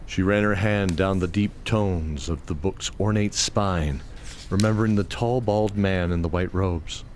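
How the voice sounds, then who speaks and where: A man reading scripted fantasy audio drama narration in a measured storytelling manner. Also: deep voice, darkish tone, slight background noise. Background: music.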